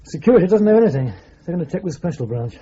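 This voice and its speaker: A muffled radio drama recording of a junior intelligence aide. His voice is very dark and husky yet fairly high, and he is eager and casual. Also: big pitch swings, racing.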